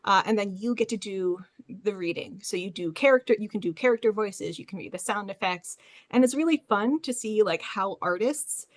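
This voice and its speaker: A woman's voice: mid-range voice, normal speed, no room sound. Female panelist, mid-range voice, dry recording, informal explainer with a hesitant, stop-start delivery. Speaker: panelist, librarian. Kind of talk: informal presentation with slides. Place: online panel, close dry sound.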